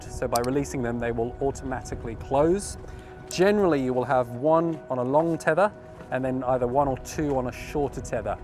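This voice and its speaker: A man in his thirties giving an informal, step-by-step instructional demonstration outdoors, explaining as he goes into a clip-on mic. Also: medium pitch. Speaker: sailing instructor. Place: outdoors at a marina, on a yacht deck and dock. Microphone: clip-on lapel mic. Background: music.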